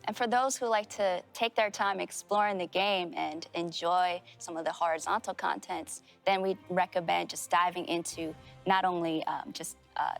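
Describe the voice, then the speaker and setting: Gravelly-voiced woman interpreting formally. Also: lowish voice. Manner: measured, formal phrasing. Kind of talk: consecutive interpreting.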